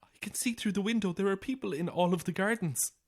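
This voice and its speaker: A woman's voice: mid-range voice, fast, close to an everyday voice. An actress playing a frightened but defiant young woman trapped in a house, in a naturalistic horror audio drama. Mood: frightened, demanding.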